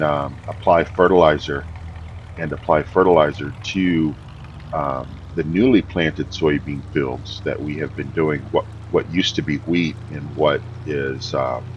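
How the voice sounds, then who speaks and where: A man hosting a farming game, talking casually in a deep morning voice, easygoing and rambling with many fillers. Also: lo-fi sound, slight background noise. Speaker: game host. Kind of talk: casual gameplay commentary.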